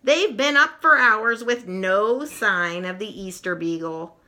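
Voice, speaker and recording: A woman reading a children's storybook aloud in an expressive, animated storytelling manner. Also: medium pitch, takes it slowly. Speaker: storybook reader. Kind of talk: scripted storybook narration for children.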